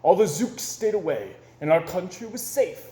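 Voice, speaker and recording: A man reading a rhyming children's book aloud with an expressive, sing-song delivery, in an echoey room. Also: sits high, normal speed. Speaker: storyteller.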